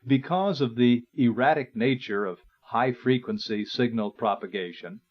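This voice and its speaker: A man narrates scripted instructional material at a slow, measured pace. His medium-pitched voice moves with lively intonation as he explains. The sound is dull and lo-fi, like a 1980s recording. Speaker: narrator and presenter.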